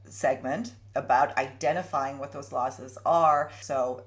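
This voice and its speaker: A woman co-hosting a casual chat, asking questions with enthusiasm and stumbling over her words when she gets excited. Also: extremely low pitch, slow. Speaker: co-host, interviewer.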